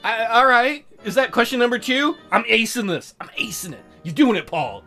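Male let's player, crude and jokey, rambling casual commentary, animated pitch, music underneath. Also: moderate pace. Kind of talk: casual joking game commentary.

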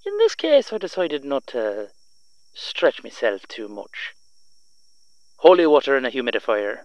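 An actor playing a smug, vengeful young half-vampire son, taunting theatrically in an audio roleplay. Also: male voice, medium pitch, huge pitch swings, unhurried, dry recording, highs cut off. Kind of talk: audio roleplay villain monologue.

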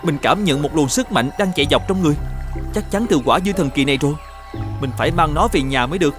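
A man voicing a hardworking, earnest young firewood seller in an animated fairy tale, speaking politely and expressively. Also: fairly high pitch, fast talker, natural voice. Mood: amazed. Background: background music.

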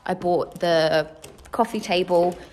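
A woman's voice: fairly low pitch, takes it slowly, darkish tone, faint music behind. An enthusiastic woman in her thirties chatting casually for a home vlog, recorded indoors on a handheld device. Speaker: vlogger. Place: living room and kitchen at home, indoors. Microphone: handheld phone or camera, near.

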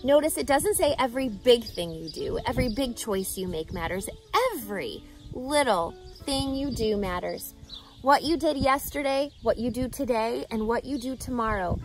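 A woman in her thirties reading a children's book aloud outdoors, with an expressive, teacherly delivery that emphasises key words. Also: a little high, normal speed, faint music behind. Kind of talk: animated children's picture-book read-aloud. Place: outdoors, grassy lakeside yard. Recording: outdoor ambient background noise.

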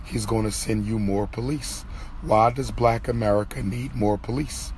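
A hoarse man in his forties, lecturing emphatically into his phone from inside a parked car and repeating his key points. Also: on the low side, fairly even intonation, normal speed, darkish tone, a little hiss or hum. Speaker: activist lecturer. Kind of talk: selfie video monologue. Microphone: phone held at arm's length. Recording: faint car ambience.

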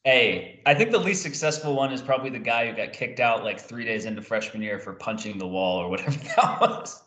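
A man's voice: medium pitch, a little fast, fairly bright tone, reverberant. A young man hosting a sports show with casual interview chat over a video call. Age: young adult. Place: indoor room on a video call. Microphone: video call microphone.

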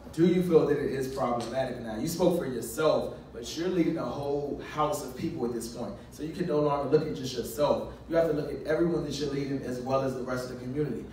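A man's voice: medium pitch, darkish tone, slight background noise. A man asking a direct, pressing follow-up question in a group discussion. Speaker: audience member asking a question. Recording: echoey room, from far off.

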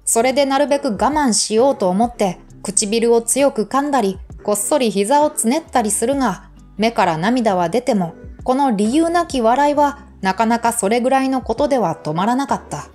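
A woman with a fairly high voice reads literary prose aloud like an audiobook narrator. Her delivery is measured and articulate, and music plays behind her. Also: lively intonation, darkish tone. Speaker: audiobook reader. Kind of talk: scripted literary reading. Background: background music.

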